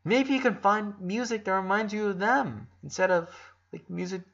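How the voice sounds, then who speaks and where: A man voicing a caring eleven-year-old boy, speaking earnestly and haltingly. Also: sits high, vocal fry, fairly bright tone, highs cut off, voice pushed up, heavily acted. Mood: tender, hopeful. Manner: earnest, halting, repeats words.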